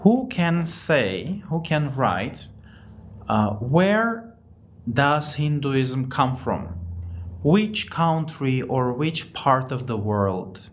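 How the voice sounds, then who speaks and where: A man with an Armenian accent hosts a casual live English lesson. He speaks at a mid pitch and rephrases each point slowly for his learners. A faint hiss sits under his voice. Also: big pitch swings. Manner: slow, repeats and rephrases words for learners. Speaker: language teacher on a live stream.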